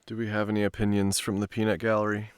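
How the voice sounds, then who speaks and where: A man's voice: fairly low pitch, monotone, fast talker, smooth, dry recording. A man reading stories aloud on a live stream, with an informal manner. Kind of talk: live storytelling and casual stream chat.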